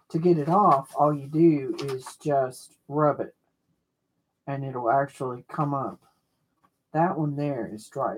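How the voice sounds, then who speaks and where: A craft livestreamer with a loud voice, rambling and thinking aloud while working at a home desk, mic set off the desk. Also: medium pitch, low-quality recording. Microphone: mic kept off the desk, away from the speaker.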